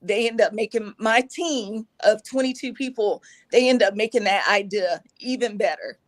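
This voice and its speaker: A middle-aged woman with a raspy voice, speaking earnestly and reflectively as an interview guest, with many fillers. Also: mid-range voice, normal speed. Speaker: guest, executive communication advisor. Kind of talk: conversational interview.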